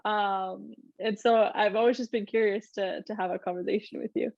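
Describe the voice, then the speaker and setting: A woman's voice: medium pitch, fairly even intonation, normal speed, gravelly, no echo, dull audio. A young woman hosting a podcast, talking in an upbeat, chatty way with frequent fillers. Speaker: podcast host. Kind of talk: casual podcast interview.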